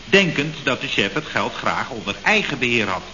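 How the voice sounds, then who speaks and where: A man's voice: mid-range voice, big pitch swings, moderate pace, bright, forceful tone, slight background noise. An actor playing an apologetic office clerk who stammers out his excuses, in a radio comedy. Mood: sheepish. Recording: dull old radio recording.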